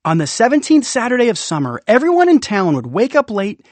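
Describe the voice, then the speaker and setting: A man narrating a children's audiobook in an expressive, playful way with dramatic pauses. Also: high-pitched, no room sound. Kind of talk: expressive scripted storybook narration.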